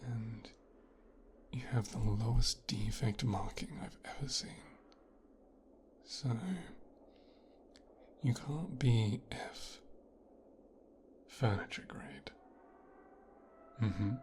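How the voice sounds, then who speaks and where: A man acts out a young mafia heir in an ASMR roleplay, possessive and coldly calculating. His voice is low and breathy, often sinking to a whisper. He takes his time, musing in slow, deliberate phrases with menacing pauses. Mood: coolly appraising. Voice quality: hushed, breathy, half-whispered.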